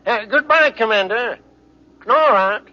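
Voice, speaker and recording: A high-voiced, smug space villain in a vintage radio serial. He sneers with mock courtesy, his pitch swooping about. The recording is muffled and old. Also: expressive, measured pace, pushed and bright, heavily acted.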